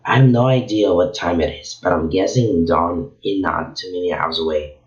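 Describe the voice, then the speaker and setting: A man from Venezuela, hoarse from losing his voice, reading a story chapter aloud in a casual, halting way with frequent slips. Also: low voice. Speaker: channel host reading a story. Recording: echoey room, dull lo-fi sound.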